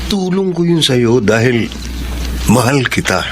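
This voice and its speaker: A gentle middle-aged married man. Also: medium pitch, big pitch swings, measured pace. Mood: tender. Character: successful married man, kind to his lover. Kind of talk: radio drama acting. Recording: noisy radio drama recording.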